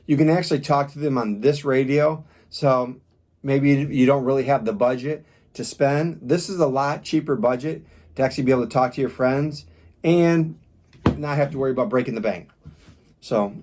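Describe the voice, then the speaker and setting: A man's voice: mid-range voice, a little mellow. Casual man giving a hands-on gear review. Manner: informal, demonstrates step by step. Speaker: product reviewer.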